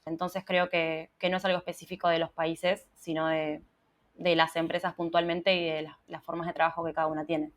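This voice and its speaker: A young Argentine woman with a low, fairly even voice, talking calmly as a guest in an interview. She thinks out loud and often restarts her words as she goes. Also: brisk. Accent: Argentine Spanish. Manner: thoughtful, many restarts and repeated words. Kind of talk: casual interview conversation.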